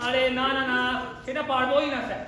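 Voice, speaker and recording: A man playing a meek, squeamish gentleman, protesting apologetically in an amateur stage comedy. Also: extremely high pitch, flat delivery, brisk, crowd noise. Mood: apologetic, protesting. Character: meek, squeamish middle-class gentleman reluctant to swear. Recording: echoey hall, distant mic, clear noise.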